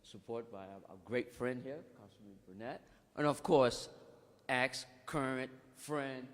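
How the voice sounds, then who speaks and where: A man's voice: medium pitch, unhurried. Guy giving a speech at a ceremony, mid voice, big echoey hall, keeps correcting himself. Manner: formal speech with frequent restarts and thank-yous. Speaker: executive director of a nonprofit. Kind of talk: public speech at a bill signing.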